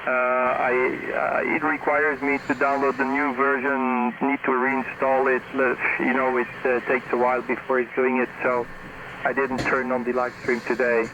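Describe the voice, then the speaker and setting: A man's voice: mid-range voice, little pitch movement, moderate pace, lo-fi sound. A man talking casually as an amateur radio operator, heard through a shortwave radio transmission. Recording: noisy ham radio reception. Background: clear radio noise.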